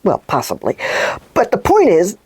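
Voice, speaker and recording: A woman reading scripted stories and segments for children as a show presenter, with expressive, deliberate delivery. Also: mid-range voice, breathy edge, no room sound.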